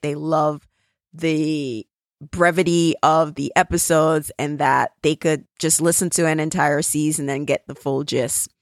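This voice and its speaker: Earnest Jamaican woman with a gravelly edge. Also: deep voice, no echo, clean studio sound. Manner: earnest, grateful appeal for support. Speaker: podcast host. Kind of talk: casual solo podcast update.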